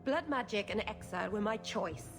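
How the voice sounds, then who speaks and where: A woman's voice: medium pitch, lively intonation, moderate pace, music in the background, natural voice. An actress playing an earnest, defiant young female exiled mage in a video game, speaking with impassioned emotion. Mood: defiant, bitter.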